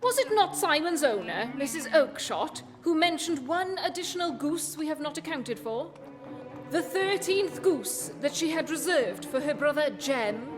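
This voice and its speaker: A woman playing a coolly confident, commanding detective in a radio play, speaking with measured authority. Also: high-pitched, sing-song. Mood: assured. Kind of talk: festive radio play acting. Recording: long hall reverb, loud music underneath.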